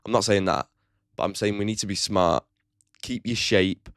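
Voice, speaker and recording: A man's voice: lowish voice, normal speed, a bit bright, clean tone, dry recording, clean studio sound. A man hosting his own football fan channel, giving a blunt, opinionated match preview with casual swearing. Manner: chatty, with frequent "like" fillers.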